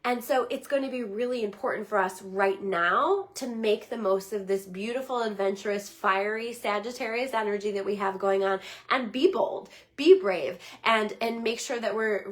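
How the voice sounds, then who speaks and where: A slightly hoarse middle-aged woman, giving a weekly psychic reading in an earnest, rambling livestream talk. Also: medium pitch, normal speed, few pauses. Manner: earnest, rambling, with many restarts and "right?". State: slightly hoarse, losing her voice.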